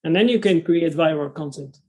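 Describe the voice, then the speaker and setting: French-accented young male guest, higher-pitched voice, searches for words as he talks. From France. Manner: halting, restarts sentences often. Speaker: guest, travel content creator. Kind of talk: casual interview conversation.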